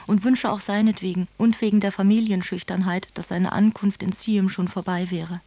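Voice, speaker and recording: A woman plays a gentle, devoted noblewoman writing to her future sister-in-law. Her voice is soft-toned and mellow, recorded close and dry. She phrases everything with effusive, formal politeness for a radio drama. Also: medium pitch, steady tone, normal speed, no echo, natural voice. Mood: earnest, courteous. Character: gentle, devoted aristocratic sister, busy young mother. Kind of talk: dramatised reading of letters, German radio play.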